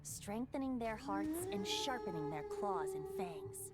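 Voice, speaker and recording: A lively genteel bard. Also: male voice, very high-pitched, a little slow, loud music behind the voice, full character voice. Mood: wry. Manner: polished, genteel, playful phrasing. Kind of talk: game dub acting.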